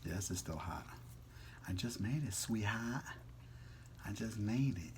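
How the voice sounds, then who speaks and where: A man's voice: fairly low pitch, lively intonation, unhurried, a little mellow, a little hiss or hum. A man vlogging casually over a meal, talking in an informal, slangy, teasing way. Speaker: mukbang vlogger. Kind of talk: casual chat while eating.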